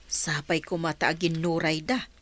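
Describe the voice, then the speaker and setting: A woman playing a wistful, worried old village wife in a radio drama, with a husky voice. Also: deep voice, level tone, normal speed, fairly bright tone. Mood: wistful worry.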